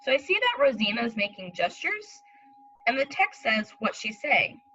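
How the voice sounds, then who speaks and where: Bright-voiced woman teaching young children. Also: fairly high pitch, very animated, moderate pace, husky, highs cut off, faint music behind. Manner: instructional, explaining step by step, addressing the children directly. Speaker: elementary school teacher. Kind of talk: read-aloud reading lesson for young children.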